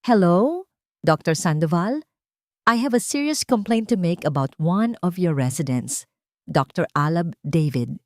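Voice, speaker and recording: Isang babaeng gumaganap bilang aroganteng lalaking mapang-angkin, mapagbanta at malamig magsalita sa isang kuwentong drama. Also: female voice, expressive, unhurried, no echo, studio quality. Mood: cold triumph. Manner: low, threatening, gloating. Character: menacing, arrogant, possessive powerful man, coldly threatening.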